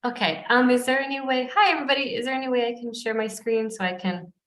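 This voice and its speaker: A woman with a fairly high voice joins by video call, sounding echoey. She speaks in a casual, polite way as a guest presenter. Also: fast talker, fairly bright tone.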